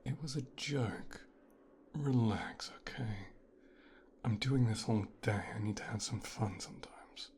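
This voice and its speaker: A man with a low voice plays a mafia boss's son in an ASMR roleplay. He talks very slowly and softly, slipping often into a whisper, giving teasing commands right at the microphone. A faint noise sits behind him. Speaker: ASMR voice actor performing a roleplay. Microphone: close microphone.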